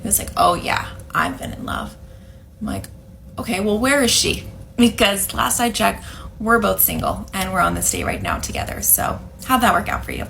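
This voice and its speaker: Woman in her 30s, tons of fry, sarcastic and indignant, faint noise behind. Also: medium pitch, big pitch swings, moderate pace, fairly bright tone. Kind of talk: storytelling clip.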